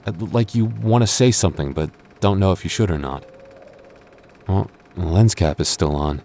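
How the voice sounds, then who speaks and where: Deep-voiced, earnest young boyfriend played by a man. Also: animated, mellow, slight background noise. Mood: curious, amazed. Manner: intimate, halting, stammering. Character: devoted, earnest young boyfriend on a haunted adventure. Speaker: voice actor. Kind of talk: boyfriend ASMR audio roleplay.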